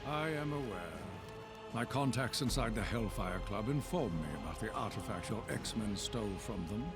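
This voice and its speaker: A man's voice: fairly low pitch, lively intonation, over loud music, lightly acted. A man voicing Magneto, a haughty mutant overlord. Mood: scornful. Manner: haughty, condescending, measured. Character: commanding older male mutant master of magnetism. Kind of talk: video game cutscene voice acting. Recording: game audio under loud score.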